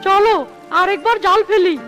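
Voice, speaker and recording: Woman as a very high cartoon fox kid brother. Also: fairly even intonation, moderate pace, over music. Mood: cheerful teasing. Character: eager younger fox brother, cheeky fishing partner. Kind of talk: animated children's cartoon dialogue.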